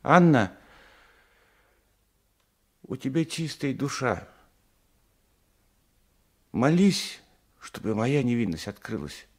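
An actor playing a pious, wronged old peasant husband in a radio drama, mournful and heavy, with a husky, creaky voice. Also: male voice, mid-range voice, sing-song, slow, pauses often, a little mellow. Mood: mournful, resigned. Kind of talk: 1980s radio drama acting.

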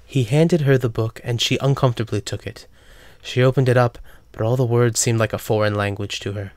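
A man's voice: medium pitch, fairly even intonation, brisk, darkish tone, no room sound. A man reading scripted narration for a fantasy romance audio drama.

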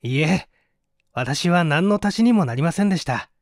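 A man's voice: on the high side, lively intonation, normal speed, no room sound, clean studio sound. A man voicing an earnest, dutiful young man who speaks politely and formally in a dramatized story reading. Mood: earnest, humble. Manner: formal, earnest, emphatic phrasing.

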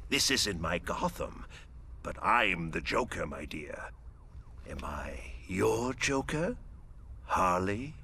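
An actor playing a manic, sadistic clown villain in a video game, with theatrical, mocking delivery. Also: male voice, sits low, very animated, slow, noisy background, heavily acted. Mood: coaxing, sinister.

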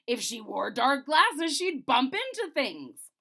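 A woman plays an exasperated, commanding king from a storybook. The voice is loud and fairly high, with big swings in pitch and heavy emphasis. He is worried and quick to lose his temper. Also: huge pitch swings, creaky, slightly rough, heavily acted.

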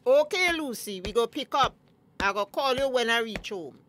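Highish-voiced, protective Tobagonian mother. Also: lively intonation, moderate pace, fairly bright tone. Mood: familiar, concerned. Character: protective, respectable middle-aged mother defending her daughter. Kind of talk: radio drama acting.

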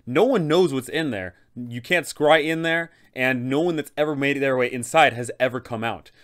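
A young man with a mid-pitched voice gives game-master advice as a host. He speaks in an explanatory commentary style, stressing his points emphatically. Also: normal speed, fairly bright tone. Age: young adult. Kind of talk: tabletop game guide commentary to camera.

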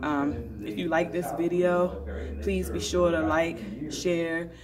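A woman's voice: lowish voice, takes it slowly, crowd noise. A woman in her thirties, a trucker, chatting casually to her phone camera with lots of fillers, first in a gym and then sitting in a car. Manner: rambling, relaxed, many fillers. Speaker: trucker vlogger. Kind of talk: casual vlog talk to camera. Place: large gym indoors; inside a parked car. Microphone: phone camera at arm's length.

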